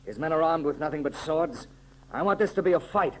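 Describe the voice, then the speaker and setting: A man's voice: on the high side, lively intonation, brisk, close to an everyday voice, rough recording. An actor playing a bold, heroic male adventurer who commands his men in an urgent, declamatory 1930s radio serial style. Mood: brisk, resolute. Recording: vintage 1930s radio recording, loud crowd noise.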